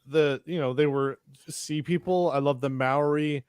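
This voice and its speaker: Profane man chatting, slightly high voice. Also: measured pace, clean tone, dry recording, studio quality. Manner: profane, animated storytelling with acted quotes. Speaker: podcast co-host. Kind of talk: casual film discussion, chat.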